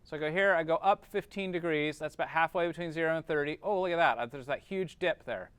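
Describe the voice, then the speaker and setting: Male instructor, higher-than-average pitch, crisp and dry sound, informal classroom explaining with frequent check-in tags. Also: fast, a bit bright, no room sound. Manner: informal, checks understanding with short tag questions. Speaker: teacher. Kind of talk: classroom lesson, explaining step by step.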